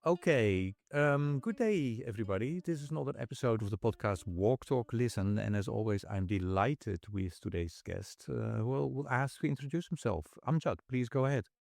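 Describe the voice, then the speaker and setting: A man's voice: mid-range voice, lively intonation, moderate pace, gravelly, few pauses, darkish tone, dry recording. A middle-aged man from the Netherlands hosting a podcast, talking in an easygoing, casual way. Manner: easygoing, with short backchannels. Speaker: podcast host. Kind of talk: casual interview.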